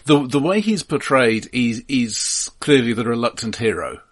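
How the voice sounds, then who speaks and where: Male podcast co-host, slightly rough voice, dry and opinionated, conversational film talk. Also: mid-range voice, lively intonation, normal speed. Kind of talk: casual film discussion.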